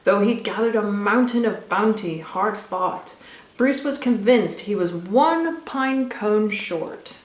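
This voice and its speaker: A woman reading a rhyming children's story aloud with expressive, rhythmic delivery. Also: mid-range voice, takes it slowly. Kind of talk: scripted storybook narration for children. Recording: echoey room.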